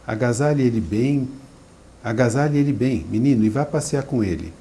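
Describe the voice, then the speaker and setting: A man reading aloud and voicing a relaxed uncle who talks in a breezy, reassuring way. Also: mid-range voice, normal speed, slight background noise, lightly acted. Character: man, an easygoing drinking uncle.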